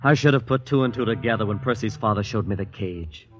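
An actor playing an earnest, boyish young man in a vintage radio drama, speaking in a halting, sincere way. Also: lowish voice, faint music behind, close to an everyday voice. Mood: wistful. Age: young adult. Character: earnest lovestruck young American man, increasingly alarmed guest. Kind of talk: 1940s-50s radio drama acting and narration. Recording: dull vintage radio broadcast recording.

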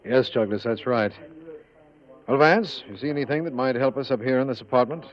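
A man acting an American district attorney in an old radio mystery. He is formal, polite and businesslike, with a mid-pitched voice. The sound is dull and muffled. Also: a little fast, close to an everyday voice.

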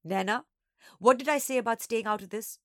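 A woman's voice: mid-range voice, expressive, a little fast, husky, no echo, studio quality. A woman voicing a bold schoolgirl who schemes with her best friend, urgent, bossy and conspiratorial, in an audiobook.

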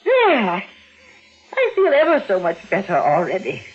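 A woman's voice: medium pitch, wildly swooping pitch, a little slow, husky, full character voice. An anxious, lonely widow of nearly sixty in an old radio thriller. Her pleading swoops dramatically up and down. The vintage recording is dull, with music behind. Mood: fretful, clinging. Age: older. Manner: melodramatic, breathless pleading. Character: hysterical, frightened widow approaching sixty, clinging and lonely. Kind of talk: 1940s radio suspense acting.

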